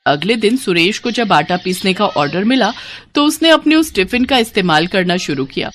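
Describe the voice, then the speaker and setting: A woman narrating a children's moral tale in an expressive, dramatic storytelling style. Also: mid-range voice, moderate pace, a little hiss or hum.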